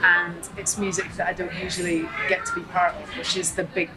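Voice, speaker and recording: A woman from Scotland with a Scottish accent, a musician guest chatting in an animated way and laughing easily. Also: on the low side, brisk, over loud music, low-quality recording. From Scotland (St Andrews). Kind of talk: casual chat. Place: busy public spot in Los Angeles. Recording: loud crowd chatter behind.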